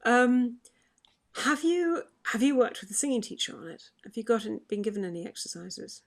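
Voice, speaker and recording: A woman's voice: a little high, big pitch swings, normal speed. A woman who is a vocal health expert, explaining singing advice in a chatty way during an online question session. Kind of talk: online forum Q&A.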